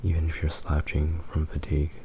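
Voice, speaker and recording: ASMR roleplay: doting, possessive male fox demon, played by a man; dark mellow tone, very even, hushed and soothing. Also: rumbling low, a little hiss or hum. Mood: tender, adoring. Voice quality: breathy, whispery. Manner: slow, tender, soothing, halting.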